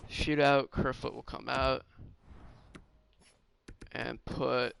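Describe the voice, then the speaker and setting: A man with a slightly hoarse, raspy voice, casually commentating on his own game and thinking aloud with lots of fillers. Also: medium pitch, flat delivery, very slow, background noise. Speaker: gaming streamer.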